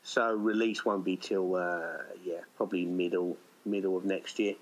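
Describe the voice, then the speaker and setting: A man's voice: low-pitched, fairly even intonation, normal speed, poor audio. A middle-aged man chatting casually as an interviewed writer-director, rambling and anecdotal. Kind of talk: casual chat.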